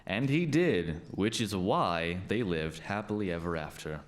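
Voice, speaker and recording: A man's voice: sits low, expressive, measured pace. A man narrating a scripted folk tale as a storyteller.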